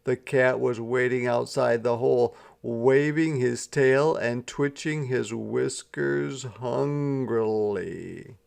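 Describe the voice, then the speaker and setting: A man reading a children's story aloud as an expressive storyteller, with deliberate, dramatic phrasing. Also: mid-range voice, gravelly, clean tone. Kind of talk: expressive scripted storybook narration.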